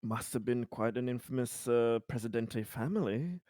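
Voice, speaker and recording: Man roleplaying in halting, courteous phrases, dry sound. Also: mid-range voice, lively intonation, mellow, no echo. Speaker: tabletop roleplaying game player. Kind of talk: improvised in-character dialogue in a tabletop game.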